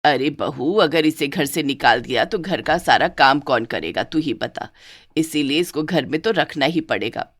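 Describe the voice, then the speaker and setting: Lady doing a bossy old mother-in-law, lowish voice, sweet to one bahu and harsh to the other. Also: lively intonation. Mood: scolding. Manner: lower, slower, scolding toward one and sweet toward the other. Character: doting older mother-in-law, bossy to her maid-like daughter-in-law. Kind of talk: cartoon storybook acting.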